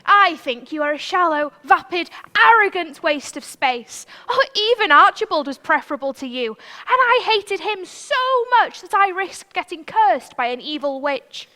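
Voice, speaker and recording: A woman's voice: very high voice, expressive, normal speed, hardly any pauses, bright, forceful tone, full character voice. A woman playing a feisty, outspoken young princess in a live stage pantomime, theatrical and emphatic. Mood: scornful.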